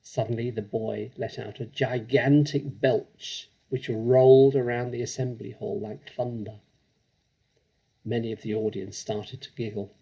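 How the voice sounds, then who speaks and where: A man narrating a children's story expressively, with dramatic, measured delivery. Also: medium pitch, level tone, gravelly, dark tone, breathy edge, poor audio. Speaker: storybook reader.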